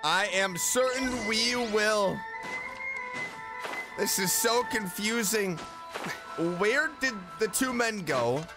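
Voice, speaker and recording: A man hosting a game playthrough, reacting and thinking aloud in an excitable way. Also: high-pitched, takes it slowly, long pauses. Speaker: gaming video host. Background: game background music.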